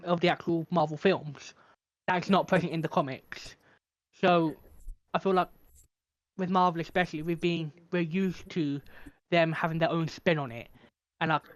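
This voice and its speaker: A man co-hosting a podcast in casual chat, tentatively searching for his words. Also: fairly high pitch. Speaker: podcast co-host.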